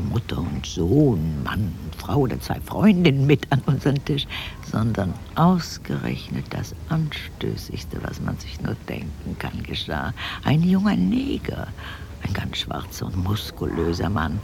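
An actress playing an appalled, prudish mother in a radio play, dwelling on her words with halting indignation. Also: extremely low pitch, sing-song, gravelly, a little mellow, slight background noise, heavily acted. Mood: scandalized dread. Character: appalled, prudish mother obsessively recalling her daughter's scandals.

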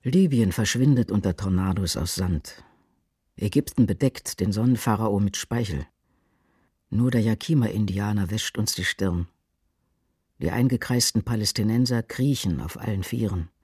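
A man reciting poetry for a radio production in deliberate, declamatory short statements. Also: lowish voice, darkish tone, slightly rough, no room sound, studio quality. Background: music.

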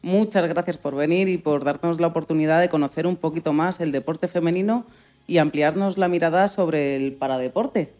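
A woman's voice: low-pitched, normal speed, warm tone, faint music behind. A woman co-hosting a radio show, giving a friendly, prepared interview.

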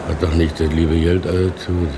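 An actor playing a gossipy, folksy railway porter with a colloquial, musing way of talking in a radio play. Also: male voice, deep voice, level tone, normal speed, noisy background. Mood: amused, musing.

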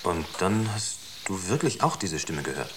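A sceptical scientist aboard a spaceship in a radio play. He has a low voice and speaks in clipped, matter-of-fact phrases. The recording is noticeably noisy. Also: very animated, moderate pace. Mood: puzzled, sceptical. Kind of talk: naturalistic radio drama acting.

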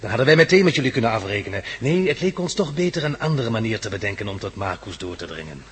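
A man's voice: fairly low pitch, big pitch swings, quick pace, a bit bright, big-room sound, slight background noise. An actor playing a smug, scheming villain's henchman in a radio drama, mockingly polite. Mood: smooth, calculating. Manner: smooth, mockingly polite, chuckling.

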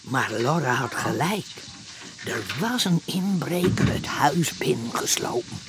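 A man's voice: a little high, animated, slow pace, background noise. A man narrating a radio play in a storytelling style.

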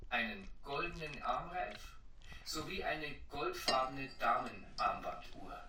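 Slow, formal 1930s police announcer. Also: male voice, on the high side. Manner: measured, precise, impersonal. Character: formal 1930s police announcer reading a missing-person notice. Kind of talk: official bulletin reading. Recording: noisy, echoey, distant bulletin sound.

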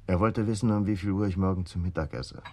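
Gruff militant, deep bass-leaning voice, wary, radio drama acting. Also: male voice, fast talker, background noise. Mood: offhand. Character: gruff, guarded male militant bomber with a conscience.

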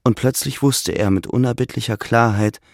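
A man narrating a literary radio play from a script in measured storytelling. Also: mid-range voice, no echo, studio quality.

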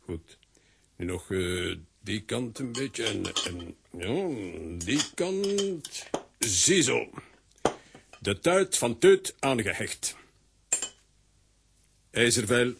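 A man's voice: medium pitch, animated, very slow, creaky, long pauses, noisy background, full character voice. A man playing a bossy, earnest makeshift surgeon in a children's audio drama, giving clipped commands and muttering as he works. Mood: bossy, concentrating.

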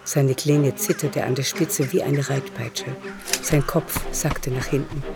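A man's voice: medium pitch, steady tone, unhurried, gravelly, music in the background. A man narrating a literary radio drama from a script.

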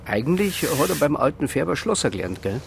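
A man's voice: sing-song, brisk, creaky. An actor playing a plain-spoken small-town policeman with a Bavarian accent, folksy and colloquial, in a radio crime drama. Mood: folksy, subdued. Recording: rumbling noise behind voice.

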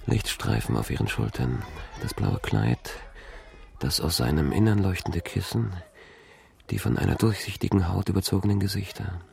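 An actor playing a brooding middle-aged man haunted by memories, speaking slow, fragmentary inner monologue in a radio drama. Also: darkish tone. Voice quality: low, gravelly, creaky. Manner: slow, fragmentary, introspective recitation.

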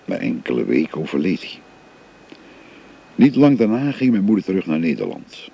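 A gravelly, very deep-voiced old father. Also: wildly swooping pitch, moderate pace, warm tone, muffled recording, exaggerated voice. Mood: gentle, confiding. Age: older. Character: older father telling his family history. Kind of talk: radio drama acting. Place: recorded on location.

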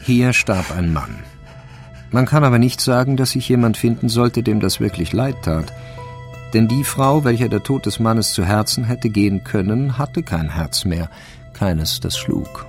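A man narrating a literary crime story for a radio drama in a creaky voice. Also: lowish voice, expressive, a little slow, soft background music.

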